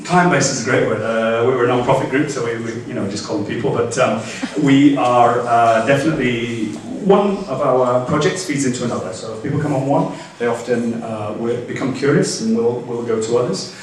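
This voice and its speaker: A man's voice: mid-range voice, fairly quick, hardly any pauses. A male guest speaker fields questions from the audience, stopping and restarting his sentences often. He is picked up from a distance in a very echoey lecture room. A faint background hum runs under his voice. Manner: hesitant, many restarts and fillers. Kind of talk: Q&A after a lecture.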